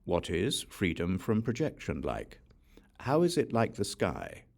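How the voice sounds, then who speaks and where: A man reading a scripture audiobook, reciting verse in a measured, deliberate and solemn manner. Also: deep voice, wildly swooping pitch, vocal fry. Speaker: audiobook reader.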